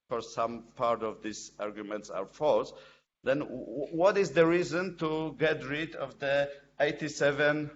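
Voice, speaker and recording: A man's voice: mid-range voice, a bit bright. A Polish man speaks English on a panel, stumbling over words and restarting but pressing his point with indignation. The sound is a bit dull, with faint noise under it. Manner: hesitant, many fillers and restarts, indignant. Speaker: judge on a panel.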